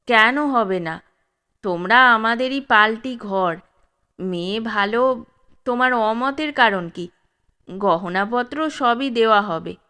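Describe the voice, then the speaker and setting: A woman's voice: on the high side, takes it slowly, pauses often, sharp tone. A woman voicing a harsh, commanding old male dacoit chief in a spoken storybook. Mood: insistent. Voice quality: harsh, gruff. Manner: commanding, threatening.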